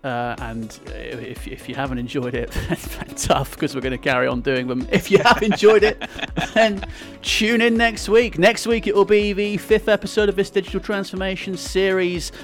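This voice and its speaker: A man's voice: high voice, moderate pace, gravelly, faint music behind. A man hosting a podcast in casual, jokey chat and closing the episode. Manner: jokey, wraps up the show. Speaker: podcast host.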